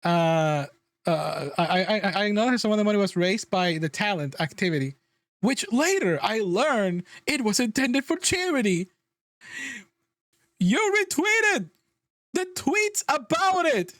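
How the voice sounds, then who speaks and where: A man's voice: high-pitched, very animated, a little slow, a bit bright, no room sound, clean studio sound. A man livestreaming a casual, exasperated reaction rant, emphatic and freely swearing. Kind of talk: casual ranting reaction commentary.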